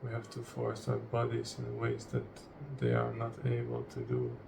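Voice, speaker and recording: A man leading a guided meditation in a solemn, deliberate way, speaking in short, broken phrases. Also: mid-range voice, very animated. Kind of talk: guided meditation, spoken slowly and reflectively. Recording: distant mic with clear background noise.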